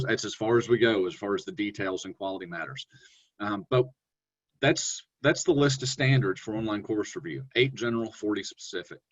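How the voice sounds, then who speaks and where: Deep-voiced man giving a relaxed webinar. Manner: relaxed, conversational, with fillers and self-corrections. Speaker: webinar presenter. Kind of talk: presenting an online teaching webinar. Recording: dull lo-fi webinar audio.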